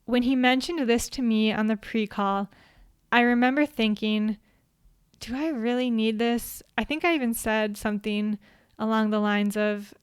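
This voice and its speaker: A soft-spoken young woman talks through a prepared script at an even, measured pace. Her voice sits a little high and comes across smooth and clean. It is recorded dry and close on a studio microphone. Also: no room sound. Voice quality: quiet voice. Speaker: podcast host. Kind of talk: scripted solo talk.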